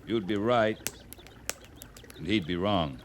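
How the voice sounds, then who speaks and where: An actor playing a steady, earnest US cavalry officer in a radio western, talking in a plainspoken, deliberate way. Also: male voice, lowish voice. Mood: earnest, persuasive. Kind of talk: 1950s radio western acting. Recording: slightly noisy vintage radio recording.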